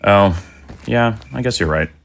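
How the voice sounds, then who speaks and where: A middle-aged man playing a devoted, gentle undead father in an audio drama, speaking in a halting, earnest way. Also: fairly low pitch, animated, vocal fry. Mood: easygoing.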